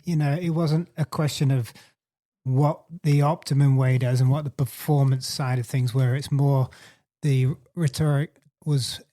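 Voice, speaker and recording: A man's voice: medium pitch, steady tone, a little slow, dark tone. A man co-hosting a cycling podcast, giving his opinion in casual chat and restarting his phrases as he goes. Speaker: podcast co-host.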